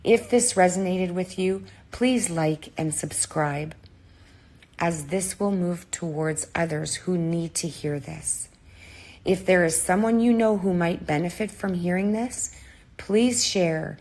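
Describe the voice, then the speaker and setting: A woman with a hoarse voice, giving slow, measured spiritual guidance as a self-help vlogger. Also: lowish voice, a little mellow. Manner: slow, measured, reassuring advice. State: hoarse, losing her voice.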